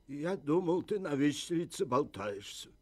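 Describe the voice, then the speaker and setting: A man voicing a street dog in a dubbed animated film, with an unhurried manner. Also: on the high side, slightly rough. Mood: surprised, hopeful. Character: slow-talking male street dog, an old acquaintance.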